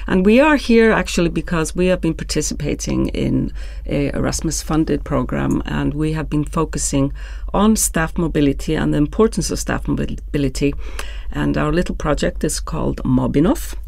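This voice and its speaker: Gravelly older woman hosting. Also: deep voice, moderate pace, hardly any pauses, a little mellow, no echo. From Iceland. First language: Icelandic. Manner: chatty, laughs often, many fillers and restarts. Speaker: podcast host. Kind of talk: casual podcast conversation. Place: small studio room, indoors. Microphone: desk microphone on a stand.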